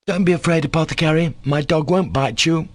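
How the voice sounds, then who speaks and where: Storybook schoolboy man acting: cocky, defiant, incisive, lifted pitch, bone-dry recording. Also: moderate pace, no room sound. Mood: insolent. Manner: loud, incisive, rapped-out delivery. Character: defiant, cocky schoolboy, sharp-tongued and insolent.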